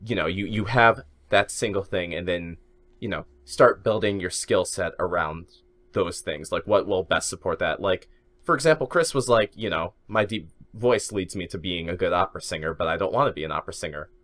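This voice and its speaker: Casting: male podcast co-host, very low voice, musing and restarting mid-sentence. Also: fairly quick, a bit bright. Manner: thinking aloud, repeats words as he restarts. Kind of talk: casual podcast chat.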